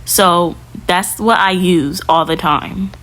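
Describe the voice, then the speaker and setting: A woman's voice: fairly low pitch, fairly even intonation, slow pace, a bit bright, poor audio. A young woman giving a casual, chatty how-to tutorial as a video creator, talking informally with lots of fillers. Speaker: YouTube creator.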